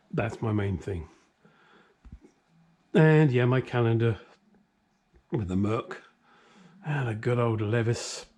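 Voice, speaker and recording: A man's voice: medium pitch, darkish tone. A middle-aged man with a British accent hosting a workshop vlog, talking casually and ramblingly in a jokey, self-deprecating, blunt way. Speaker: host of a classic car workshop vlog. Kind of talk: casual, rambling talk to camera. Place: home workshop.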